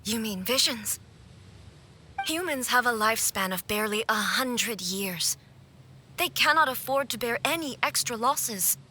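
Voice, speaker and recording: Slow, solemn regal goddess. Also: female voice, a little high, expressive, bright, forceful tone, a little hiss or hum. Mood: stern. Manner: measured, formal, grave. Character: solemn, regal female deity ruler devoted to eternity. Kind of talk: English video game voice acting.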